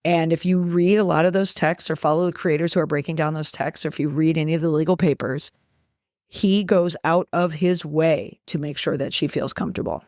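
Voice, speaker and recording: Lower-pitched woman, podcast commentary. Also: fairly even intonation, brisk, darkish tone, no echo, studio quality. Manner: emphatic, repeats words for stress.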